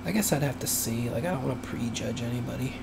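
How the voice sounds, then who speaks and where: A man talks to himself while he works, pausing often and circling back to his points. His voice is medium in pitch and has turned raspy and dry from a long session. A steady air-conditioner hum sits clearly behind him. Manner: musing, hesitant, thinks aloud with many pauses. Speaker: animator live-streaming his work. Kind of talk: rambling casual live-stream talk while working. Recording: noisy room hum behind voice.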